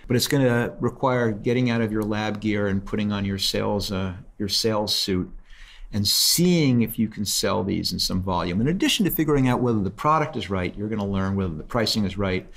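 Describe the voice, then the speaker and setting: A man's voice: lowish voice, fairly quick. A man in his sixties, a host, giving direct, encouraging business advice from a studio set. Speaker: host, mentor. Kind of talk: advice in casual chat. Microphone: studio mic on desk.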